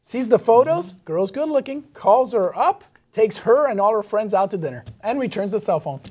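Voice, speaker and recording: A middle-aged man from Venezuela talks sports casually on a studio panel. His voice is high for a man, and he speaks as a commentator would among colleagues. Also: very animated, moderate pace, darkish tone. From born in Venezuela, Argentinian family. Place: TV studio, at a desk.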